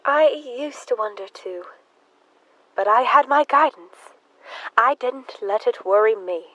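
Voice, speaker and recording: A young woman acts out an unhinged, devout killer sister in a radio play. Her voice is very high and she speaks slowly, halting and fervent, in a close, dry recording. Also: bright, forceful tone, no echo, highs cut off, full character voice. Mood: subdued. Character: Emma, devout, unhinged axe-murdering sister, adult woman. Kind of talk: high school audio drama acting.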